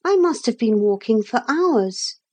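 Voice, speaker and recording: A woman's voice: a little high, sing-song, measured pace, a little mellow, breathy edge, voice pushed up, heavily acted. A woman voicing a young boy in a storybook, earnest, curious and polite, with an eager, questioning way of talking. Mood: lonely, wondering. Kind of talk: storybook character voice in a read-aloud.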